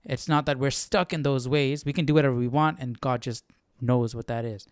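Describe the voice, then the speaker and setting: A man's voice: medium pitch, steady tone, a little fast, smooth, no room sound, studio quality. A man hosting a casual Bible study livestream, thinking aloud and often checking for agreement. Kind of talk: casual commentary.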